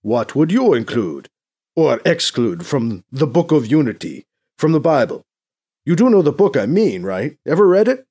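A cautious, exasperated church negotiator in an audiobook, acted by a male narrator. He is earnest and measured in his arguments, with pitch that lifts and falls expressively. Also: very animated, mellow, husky. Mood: challenging.

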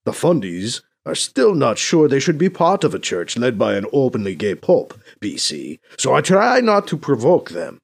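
A cautious, exasperated church negotiator in an audiobook, acted by a male narrator. He is earnest and measured in his arguments, with pitch that lifts and falls expressively. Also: wildly swooping pitch, gravelly, husky, heavily acted. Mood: resigned.

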